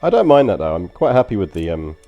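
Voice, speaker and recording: A man with a London accent, deep-voiced, muses aloud to his stream chat while playing a strategy game. His tone is mellow and unhurried, and his sentences wander with ums and uhs. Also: big pitch swings, highs cut off, faint music behind. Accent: London English. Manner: rambling and chatty, frequent ums and uhs. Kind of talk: casual gameplay commentary to stream chat.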